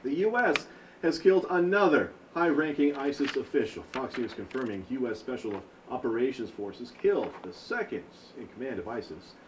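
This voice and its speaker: A man anchoring a local newscast, reading stories in a steady formal broadcast manner. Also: mid-range voice, moderate pace. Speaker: news anchor. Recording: distant mic with noisy control room.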